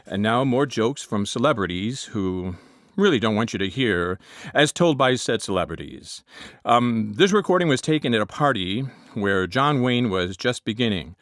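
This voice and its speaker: Man, comedy announcer, scripted, animated intonation, dry studio-like sound. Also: mid-range voice, normal speed, no echo. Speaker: voice actor introducing comedy bits.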